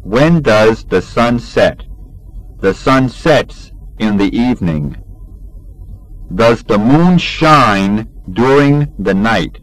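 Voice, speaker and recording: Very slow-paced man reading lessons. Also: fairly low pitch, expressive, no echo, poor audio. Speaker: English teacher reading a textbook aloud.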